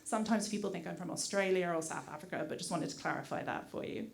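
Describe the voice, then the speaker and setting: A woman's voice: on the low side, fast talker, gravelly. British-raised woman addressing a meeting. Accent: British with some American mix. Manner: polite, thankful, with frequent "uh" fillers. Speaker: community affairs director. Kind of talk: prepared public remarks at a community meeting. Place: community meeting hall.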